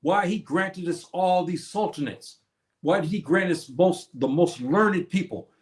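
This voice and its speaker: A man's voice: high-pitched, a little slow, roomy sound. A man hosting a stream, lecturing on history in a preachy way and posing questions that he answers himself. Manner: preachy, asks and answers his own questions. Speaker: host. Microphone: streaming setup.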